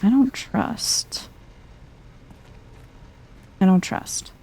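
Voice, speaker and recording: Soft-spoken woman, creaky and mellow. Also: mid-range voice, extremely slow. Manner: chill, murmuring to herself. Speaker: gameplay streamer. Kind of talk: soft-spoken casual game commentary, ASMR vibe.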